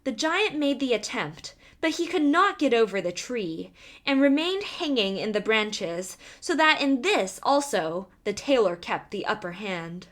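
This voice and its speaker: A woman reading a fairy tale aloud as a storyteller, with expressive, deliberate delivery. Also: fairly high pitch. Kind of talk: scripted fairy tale narration.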